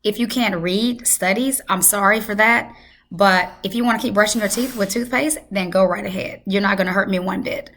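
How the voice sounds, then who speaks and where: A woman hosting a health channel, hoarse from losing her voice, talking casually and emphatically to her viewers. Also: medium pitch, moderate pace, a bit bright. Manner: emphatic, repeats for effect. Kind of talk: casual talk to camera.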